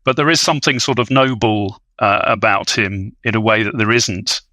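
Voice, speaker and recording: Bright, articulate scholarly man, dry sound. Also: fairly low pitch, normal speed, dry recording. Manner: articulate, scholarly, bookish vocabulary. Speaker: podcast panelist. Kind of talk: analytical film discussion.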